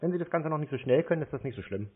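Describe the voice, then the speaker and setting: A man's voice: a little high, animated, rapid-fire, husky. A man baiting a phone scammer, sarcastic and mocking. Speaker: scam baiter. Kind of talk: prank phone call. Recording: tinny phone line.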